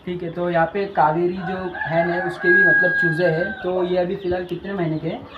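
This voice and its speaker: A young man talks casually to a phone camera in a farm shed. He is chatty, keeps saying the same filler word, and echoes back every answer he gets. Chickens cluck clearly behind him the whole time. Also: sits high, flat delivery, brisk, lo-fi sound, heavy background noise. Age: young adult. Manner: chatty, fills with "matlab" often, repeats answers back. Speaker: vlogger. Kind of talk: casual walkthrough interview. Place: outdoor poultry farm sheds. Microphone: handheld phone camera.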